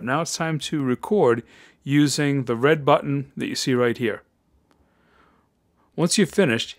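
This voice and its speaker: Deep-voiced man teaching with a close mic. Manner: upbeat, encouraging, addresses viewers as guys. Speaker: voice-over tutorial host. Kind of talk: screen-recorded software tutorial. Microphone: close-up microphone.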